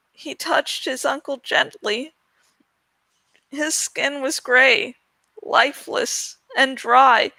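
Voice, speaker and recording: High-voiced woman reading a story aloud. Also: pushed and bright, no echo, poor audio. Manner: self-deprecating, stumbles and jokes about slips. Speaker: amateur audiobook reader. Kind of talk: dramatic storybook narration with self-mocking asides.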